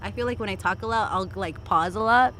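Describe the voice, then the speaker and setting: A young woman from California whose first language was Spanish, a podcast guest who says "like" constantly and giggles often, with a slightly squeaky voice. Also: fairly quick, fairly bright tone, slight background noise. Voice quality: self-described squeaky voice. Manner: chatty, uses like constantly, giggly. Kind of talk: casual chat.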